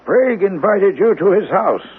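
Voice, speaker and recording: Probing doctor, male, very rough high voice, deliberate, narrow old radio recording. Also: expressive, heavily acted. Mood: measured, probing. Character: measured, cautious sanitarium doctor questioning a patient. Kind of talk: radio mystery drama acting. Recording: phone-thin vintage radio sound.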